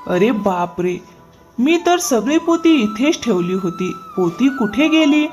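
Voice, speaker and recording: A woman's voice: fairly high pitch, sing-song, slow pace, darkish tone, faint music behind. Woman voicing a greedy, deceitful cartoon farmer man. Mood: feigned alarm. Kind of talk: moral-story cartoon dubbing.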